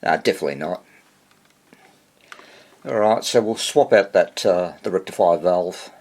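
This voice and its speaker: A man giving casual, hands-on repair commentary as he hosts a video, thinking aloud in a husky voice. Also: deep voice, normal speed, a little mellow. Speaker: vintage radio repair hobbyist hosting video.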